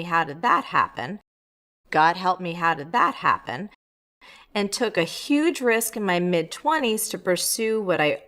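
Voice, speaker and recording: A woman's voice: fairly low pitch, a bit bright. A woman host reading out a listener's letter and then giving upbeat, emphatic advice with playful asides.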